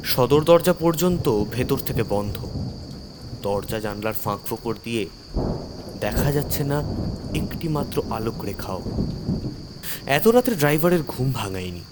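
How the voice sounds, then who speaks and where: A man's voice: medium pitch, a little hiss or hum. A man narrating a horror story in the first person as a doctor, theatrical and suspenseful.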